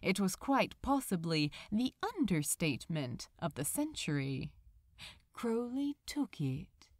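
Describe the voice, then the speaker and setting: A woman narrating a story expressively, with deliberate, dramatic phrasing. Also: lowish voice, sing-song, dry recording, clean studio sound. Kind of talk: expressive scripted fan fiction narration.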